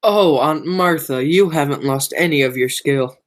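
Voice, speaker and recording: Sinister criminal nephew, played by a young woman, amateur radio drama; slightly low, bossy, insinuating. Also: unhurried. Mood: falsely polite. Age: young adult. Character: sinister, domineering man, scheming criminal nephew. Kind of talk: amateur radio play acting.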